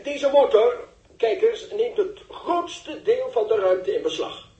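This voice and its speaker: A man's voice: extremely high pitch, slow pace, mellow, dull audio. An actor playing an eager male television reporter, giving florid, dramatic live commentary on a spaceship in a sixties radio drama. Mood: excited commentary. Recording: echoey, distant old radio recording.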